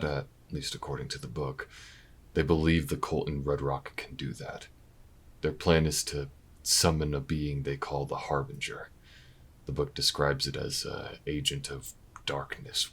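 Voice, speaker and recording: An actor playing a weary, wry, protective investigator in an intimate audio drama, low-key and dry, with hesitant pauses. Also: male voice, flat delivery, exaggerated voice. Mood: grave. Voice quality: very deep, gravelly, husky. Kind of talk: audio roleplay acting, intimate and naturalistic.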